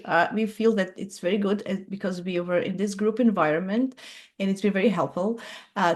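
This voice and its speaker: Lady hosting an astrology chat on a video call, lots of ums and restarts, middle-aged, medium pitch. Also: a little mellow. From Croatia. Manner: halting, many fillers and restarts, searches for words. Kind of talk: casual group video chat.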